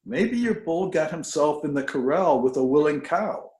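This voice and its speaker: A man's voice: fairly high pitch, expressive, normal speed, a little mellow, close to an everyday voice. A man reading first-person detective fiction as a wry, understated female private eye. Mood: skeptical. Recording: roomy, echoing video call audio.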